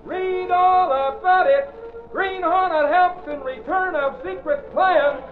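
A very high-voiced young newsboy, hawks papers in slow, stretched cries. It is vintage radio acting, with music behind a thin, phone-like recording. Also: male voice. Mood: excited hawking. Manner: loud drawn-out hawking. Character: shouting young newsboy hawking extra editions. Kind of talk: 1940s-50s radio acting, street cry.

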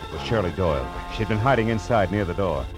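An actor playing a wry, tough private detective, clipped and world-weary, in a 1940s radio drama. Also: male voice, on the low side, lively intonation, quick pace, close to an everyday voice. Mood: wry, narrating. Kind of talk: 1940s radio drama acting and noir narration. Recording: vintage radio recording with music.

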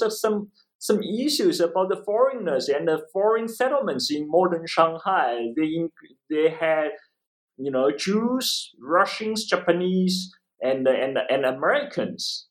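Male academic guest, Chinese accent, high voice with very animated pitch, conversational, repeats himself and tags points with "right?". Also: a little slow, poor audio. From eastern China. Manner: repeats phrases, often tags statements with "right?". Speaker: interview guest, history professor and author. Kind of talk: conversational explanation in an interview.

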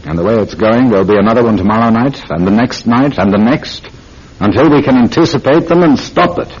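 Man playing a husky, gruff police inspector. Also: medium pitch, brisk, darkish tone, close to an everyday voice. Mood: grave, troubled. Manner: brusque, authoritative. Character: gruff, harried senior British police inspector. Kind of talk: radio drama acting. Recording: dull radio drama recording.

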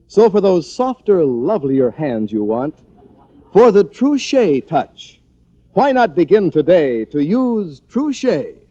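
A male announcer sells in scripted 1940s radio style. His voice is mellow and very varied in pitch. It sounds close and dry on a muffled old recording. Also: very animated, slow, husky, dry recording. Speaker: commercial announcer.

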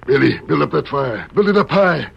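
An actor playing a frightened, hard-hearted outlaw with an American Western accent, speaking urgently in an old-time radio western. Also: male voice, on the high side, expressive, moderate pace, vocal fry. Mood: panicked. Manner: jittery, urgent, repeating himself. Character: frightened, cruel outlaw bear trainer in the Old West. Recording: dull, slightly noisy old radio recording.

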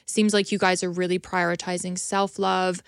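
A woman's voice: on the low side, little pitch movement, moderate pace, vocal fry, smooth, dry recording, clean studio sound. Upbeat woman hosting a podcast. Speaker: podcast host. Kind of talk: casual interview chat.

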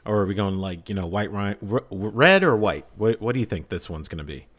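Animated man joking on a podcast. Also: lowish voice, a little fast. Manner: teasing, questioning. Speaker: podcast panellist. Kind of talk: casual, joking group chat.

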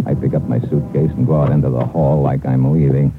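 An actor playing a tough, cool-headed undercover agent in a vintage radio adventure, talking in clipped, hard-boiled slang. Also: male voice, a bass voice, little pitch movement, a little fast, over music. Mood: tense narrating. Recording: tinny, noisy old radio recording.

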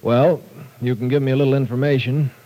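An actor playing a calm, shrewd professor who works as a federal agent in a 1940s radio drama, speaking in a measured, firm way. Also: male voice, mid-range voice, natural voice, poor audio. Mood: steady.

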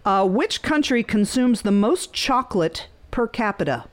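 A woman hosting a phone-in quiz in a casual, chatty, bantering way, quick to laugh. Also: mid-range voice, lively intonation. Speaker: radio show host running a phone-in quiz.

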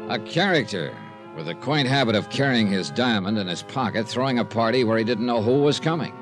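An actor playing a hard-boiled, wary insurance investigator in a classic radio drama, with an American accent and a wry, clipped, matter-of-fact manner. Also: male voice, medium pitch, lively intonation, fairly quick, music in the background, lightly acted. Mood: wry narration. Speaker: detective narrator and lead. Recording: old radio broadcast recording.

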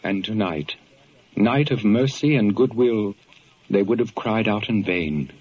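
An actor playing a calm, saintly young intern doctor in old-time radio drama, speaking formally and with gentle, lofty solemnity. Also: male voice, on the low side, unhurried, breathy edge. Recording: dull old radio recording, loud music.

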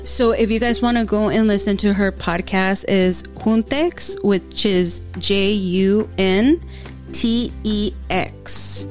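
A woman hosting a podcast in casual chat, with a calm, soothing voice, slipping Spanish phrases into her English. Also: medium pitch, soft background music. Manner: relaxed, slow, mixing Spanish into English. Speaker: podcast host.

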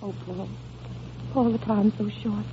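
A woman playing a gentle, compassionate friend of a dying child in a vintage American radio drama, earnest and emotional. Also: mid-range voice, steady tone, measured pace, lightly acted. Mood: wistful. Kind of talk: 1930s-40s American radio drama acting. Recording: thin, phone-like old radio recording, hiss.

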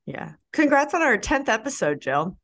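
A woman's voice: fairly high pitch, very animated, normal speed, fairly bright tone. A woman co-hosting a podcast, chatting casually, laughing often and using lots of fillers. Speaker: podcast co-host. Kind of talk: casual chat.

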